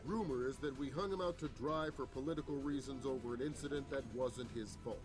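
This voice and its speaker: An actor playing a measured intelligence chief who briefs the president in a game cutscene. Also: male voice, medium pitch, close to an everyday voice. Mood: grave. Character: calm measured male intelligence chief, middle-aged. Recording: game soundtrack with clear music.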